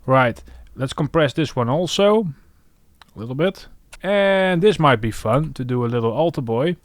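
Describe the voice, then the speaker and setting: A man's voice: mid-range voice, animated, normal speed, no room sound, clean studio sound. A man hosting a music production tutorial, talking casually and thinking aloud as he works. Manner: thinking aloud while working. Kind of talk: casual screen-recorded tutorial commentary.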